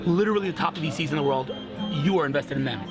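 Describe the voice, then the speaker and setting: Man, vlog host, rapid excitable talk, slightly high voice, loud restaurant music and crowd. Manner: excitable, gushing, talks over guests. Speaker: vlog host interviewing guests. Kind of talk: casual energetic interview. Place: busy restaurant. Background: loud music and crowd chatter.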